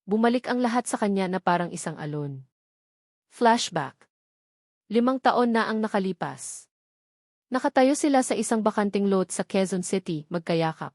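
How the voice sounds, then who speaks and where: A woman reads a dramatic love story as scripted narration, in a measured, emotive storytelling way. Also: medium pitch, lively intonation, a little mellow, no room sound, studio quality.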